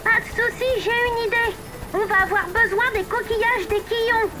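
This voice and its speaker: A woman's voice: very high-pitched, fairly even intonation, normal speed. A woman voicing a cheerful, helpful little wooden toy boy, eager and confident, in a children's cartoon. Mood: reassuring.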